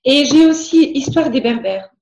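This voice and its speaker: A woman's voice: high voice, lively intonation, normal speed, a little mellow, echoey room, lo-fi sound. A woman whose first language is Arabic, speaking in a casual interview as a guest, hesitant, with many fillers and restarts.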